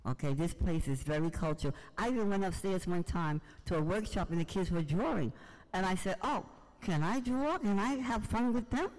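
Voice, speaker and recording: A man's voice: sits high, moderate pace. Older New man in a reverberant hall. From Harlem, New York, United States. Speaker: audience member, writer and poet. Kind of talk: unscripted personal storytelling. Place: large museum event hall, indoors.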